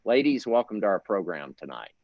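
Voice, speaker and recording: A middle-aged man moderating a virtual panel discussion, polite and measured, with small restarts. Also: low-pitched, big pitch swings, gravelly, highs cut off, slight background noise. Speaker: host, institute director.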